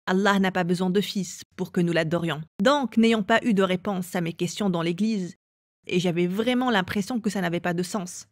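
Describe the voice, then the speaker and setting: A woman's voice: fairly low pitch, no echo, studio quality. A woman from England telling her own life story as an interview guest. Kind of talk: personal testimony in an interview.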